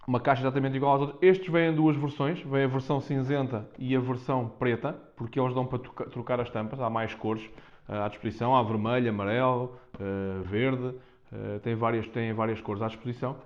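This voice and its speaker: A man with a European Portuguese accent presents in a casual vlog style. His voice sits at an average male pitch, and he speaks off the cuff, often repeating phrases as he goes. The recording is dull and lo-fi. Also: fairly even intonation, a little fast. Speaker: vlogger presenting a product unboxing. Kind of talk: casual unscripted unboxing talk to viewers. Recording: dull, muffled lo-fi sound.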